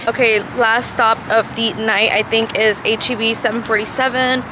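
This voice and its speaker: A young woman with a fairly high voice talks casually to her vlog camera. She is animated and slangy and swears freely. There is clear background noise on the handheld recording. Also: moderate pace. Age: 20s. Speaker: vlogger. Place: car, shops, parking lot, cluttered bedroom. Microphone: handheld or propped vlog camera. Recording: noisy handheld camera audio.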